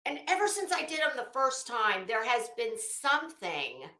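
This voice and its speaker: A woman's voice: a little high, sing-song, moderate pace, bright, forceful tone. A woman hosting a casual livestream, rambling and interrupting herself as she hunts for words, with dramatic, emphatic storytelling. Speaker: livestream host. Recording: roomy, echoing room sound.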